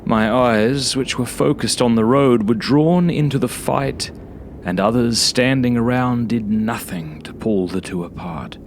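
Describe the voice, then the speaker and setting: A man narrating a first-person horror story like a journal, brooding and sometimes hushed, in short halting phrases. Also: medium pitch, a little hiss or hum. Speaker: author reading own horror story.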